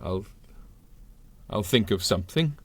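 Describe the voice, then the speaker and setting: Flustered old scientist in a radio play, grand formal talk, pitch all over, stammers. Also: male voice, animated, measured pace, fairly bright tone, slight background noise. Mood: flustered, pleading. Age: older. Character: eccentric, earnest old scientist, pleading and proud. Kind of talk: 1930s-style radio drama acting.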